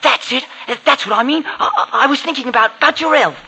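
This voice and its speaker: Vintage radio thriller lead: young man, high sharp voice, guilt-ridden, stammering and agitated. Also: fast talker, slightly rough, heavily acted. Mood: desperate, panicky. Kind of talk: old-time radio drama acting and confessional narration.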